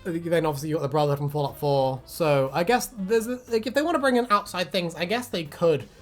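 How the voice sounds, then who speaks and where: A woman's voice: lowish voice, quick pace, faint music behind. A woman co-hosting a video, chatting casually as a fan in a jokey, giggly, sweary way. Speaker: video co-host. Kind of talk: casual fan chat and commentary.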